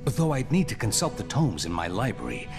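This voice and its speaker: A solemn, formal sorcerer in an animated game. His middle-pitched voice is expressive, set against loud music. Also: a little fast, gravelly. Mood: thoughtful. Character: solemn, learned male sorcerer. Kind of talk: animated game cutscene acting.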